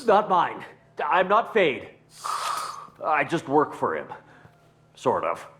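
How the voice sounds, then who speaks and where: Shifty, swooping little crook. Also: male voice, a little high, wildly swooping pitch, slow pace, a bit bright, exaggerated voice. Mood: nervous, cowed. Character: shifty, cowardly male criminal underling, blustering, easily cowed. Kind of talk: video game acting.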